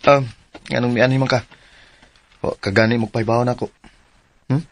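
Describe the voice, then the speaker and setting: An actor playing a smooth-talking, offhand young lover in a radio drama, with a Cebuano accent. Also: male voice, medium pitch, steady tone, normal speed, natural voice. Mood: curious.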